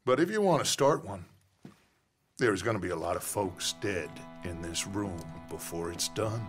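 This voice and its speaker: An actor playing a middle-aged outlaw gang leader who talks in a measured, silver-tongued way with a veiled threat. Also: male voice, sits low, lively intonation, over music. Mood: menacing. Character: smooth-talking male outlaw gang leader. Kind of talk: video game cutscene acting.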